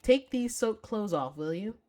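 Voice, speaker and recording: A woman's voice: medium pitch, animated, unhurried, darkish tone, voice pushed up, close to an everyday voice. Woman reading as caring, earnest young female best friend. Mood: weary, conciliatory.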